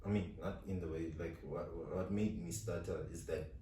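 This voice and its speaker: Low-voiced male podcast co-host, stammering restarts, roomy distant studio sound, faint background hum. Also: fairly even intonation, mellow. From South Africa. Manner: stuttering, halting restarts. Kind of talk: casual studio chat. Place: recording studio.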